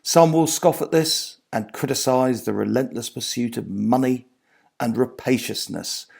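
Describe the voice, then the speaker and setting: A man reading from his own non-fiction book as its author, in a measured, articulate, literary manner, phrase by phrase, letting his lines fall away at the end. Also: medium pitch, vocal fry, darkish tone, slightly rough.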